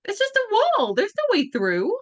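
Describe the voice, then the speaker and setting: A woman's voice: very high voice, wildly swooping pitch, normal speed, heavily acted. A woman voicing a determined, impatient teenage girl who answers sharply. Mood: puzzled. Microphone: video call.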